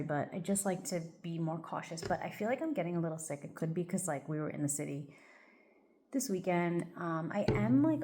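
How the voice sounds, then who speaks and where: A woman with a slightly low voice chats casually while getting ready, her talk loose and rambling and packed with "like" and "um". She sounds a touch stuffy, as if coming down with something. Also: a little mellow, a little hiss or hum. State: a little congested, stuffy. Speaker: vlogger. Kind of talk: casual get-ready-with-me chat.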